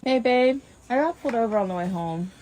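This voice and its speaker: A woman's voice: fairly high pitch, animated, a little fast, darkish tone, natural voice. A woman playing a sheepish but breezy wife in an everyday comedy skit. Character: sheepish then breezy wife confessing to her man. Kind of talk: comedic two-person skit, everyday dialogue. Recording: faint background noise.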